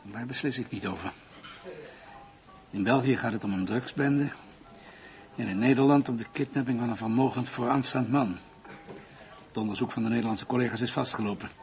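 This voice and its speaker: A man's voice: medium pitch, brisk, long pauses, darkish tone, lightly acted, rough recording. An actor playing a cautious, reserved male police commissioner in a radio drama, formal and businesslike. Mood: matter-of-fact.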